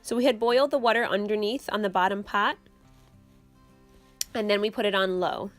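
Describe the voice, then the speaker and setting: A young woman hosting her own channel, explaining a homemade recipe step by step in a relaxed, casual way from her kitchen. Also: mid-range voice, moderate pace, faint music behind. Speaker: channel host. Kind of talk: casual how-to vlog. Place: home kitchen.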